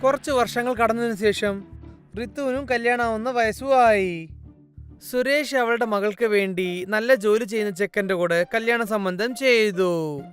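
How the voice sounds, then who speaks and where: A man narrating a cartoon fairy tale in a plain, even storytelling manner. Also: high voice, measured pace, smooth, soft background music. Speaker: narrator and voice of several characters.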